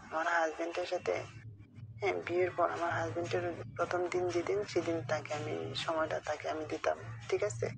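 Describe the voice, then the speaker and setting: Flat, creaky-voiced Bangladeshi woman. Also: lowish voice, a little fast, music in the background. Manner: rambling, often checking the listener follows. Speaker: caller telling a friend a personal story. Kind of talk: casual confiding phone chat. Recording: phone call recording, faint noise.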